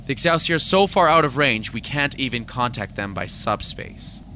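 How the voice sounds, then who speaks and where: An actor playing a principled, troubled space fleet admiral in a science fiction audio drama, earnest and stern with some weariness. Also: male voice, mid-range voice, expressive, moderate pace, pushed and bright, slight background noise. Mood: anxious, insistent.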